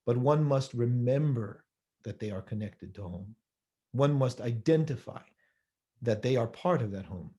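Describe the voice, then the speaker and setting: A man giving a measured holiday sermon, building his points through repeated phrases and rhetorical questions. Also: mid-range voice, lively intonation, dark tone, highs cut off. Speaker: rabbi giving a holiday talk.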